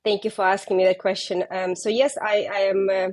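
Husky-voiced woman with a Ukrainian accent. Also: mid-range voice, level tone, a little fast, a little mellow. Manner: careful, explanatory, with frequent hesitations. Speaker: ophthalmologist guest. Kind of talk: interview, explaining.

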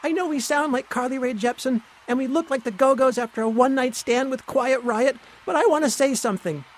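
A man putting on an earnest high-pitched young female pop singer, Jerrica. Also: fairly quick. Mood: earnest, pleading. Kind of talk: comic radio play acting.

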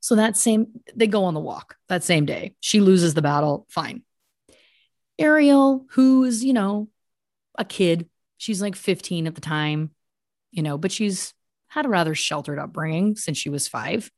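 Emphatic woman podcaster, low-voiced and close. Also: expressive, unhurried, a little mellow, no room sound. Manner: emphatic, mock-serious, with asides. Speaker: podcast host telling a story. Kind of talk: conversational satirical true crime retelling.

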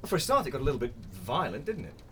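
An actor playing an affable, chatty newcomer funeral director in a comic audio drama, politely hesitant and cheerfully tactless. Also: male voice, mid-range voice, wildly swooping pitch, racing, bright, forceful tone, slight background noise. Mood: politely critical.

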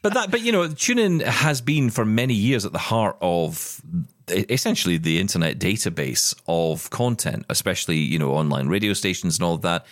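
A man's voice: low-pitched, expressive, moderate pace, no echo, studio quality. A British man co-hosting a podcast, chatting enthusiastically about tech news with frequent restarts. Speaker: podcast co-host. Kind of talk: casual tech news chat.

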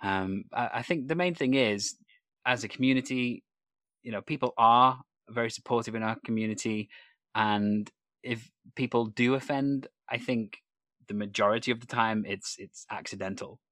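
A man from North Wales with no Welsh accent, hosting a relaxed interview, thoughtful and a little hesitant, quick to laugh. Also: medium pitch, no room sound. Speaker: podcast host. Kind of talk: conversational interview.

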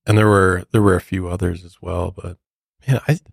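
A man with a notably low voice, recorded dry and without any room sound. He talks casually and reflectively as a podcast co-host, reacting with sympathy to the story being told. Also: fairly even intonation, moderate pace, creaky, breathy edge, dry recording. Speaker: true crime podcast co-host.

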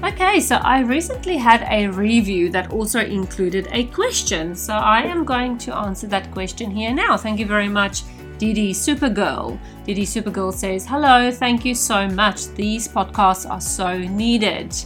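A woman's voice: medium pitch, lively intonation, measured pace, a bit bright, faint music behind. A woman podcast host whose first language is Afrikaans, talking earnestly and personally to her listeners in a casual monologue.

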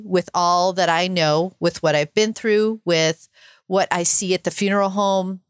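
A middle-aged woman with a calm, soothing, measured way of talking, sharing her personal story as an interview guest. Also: on the low side, no echo, studio quality. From northern climate region. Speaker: podcast guest.

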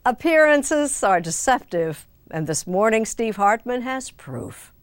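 A woman hosting a broadcast, giving gentle, inviting links between segments. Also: on the high side, big pitch swings, measured pace, smooth. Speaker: show host.

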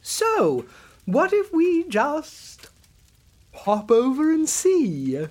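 A man playing an excitable, earnest, naive Victorian amateur detective, theatrical and protesting, in a comedy audio drama. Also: very high voice, extremely slow, exaggerated voice. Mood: eager scheming.

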